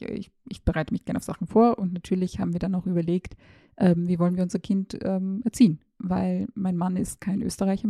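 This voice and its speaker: Gravelly, flat-voiced woman. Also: fairly low pitch, no echo, clean studio sound. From former Yugoslavia, raised in Austria. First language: Serbo-Croatian. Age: 30s. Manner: chatty and self-ironic, hesitant fillers. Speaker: podcast host, journalist. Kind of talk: casual personal monologue, like a voice message.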